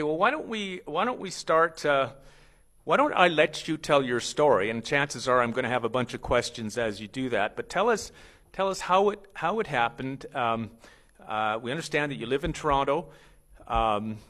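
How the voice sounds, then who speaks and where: A man's voice: medium pitch, lively intonation, fairly bright tone. A man moderating a hearing, questioning a witness in a formal but conversational way and often rephrasing as he goes. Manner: halting, rephrases as they go.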